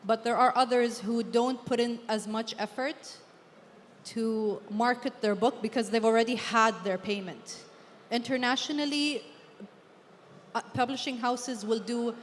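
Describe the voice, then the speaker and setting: Woman, native Arabic speaker, earnest panel talk, echoing hall, faint hum. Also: fairly high pitch. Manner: earnest, thinking aloud. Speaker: author on a book fair panel. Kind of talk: panel discussion. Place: large hall at a book fair. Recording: long hall reverb, faint background hum.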